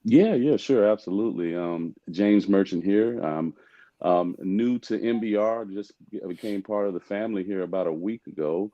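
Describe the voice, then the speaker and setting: A man's voice: fairly low pitch, moderate pace, dry recording. A man in his forties from Texas, talking casually as a guest in a polite, hesitant way on a muffled microphone. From Texas, USA. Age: 40s. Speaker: show guest, retired soldier. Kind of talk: casual self-introduction.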